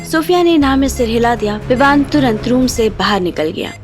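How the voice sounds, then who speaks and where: A woman's voice: on the high side, animated, normal speed. A woman reading scripted narration as the storyteller of an audio drama. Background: background music.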